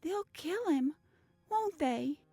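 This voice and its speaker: A teen girl, high voice, takes her time, defensive and stubborn, dry radio drama sound. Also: expressive, takes it slowly, warm tone, no echo, soft background music, full character voice. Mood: bitter, upset. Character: guarded, defiant teenage girl protecting her boyfriend. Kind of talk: audio drama acting.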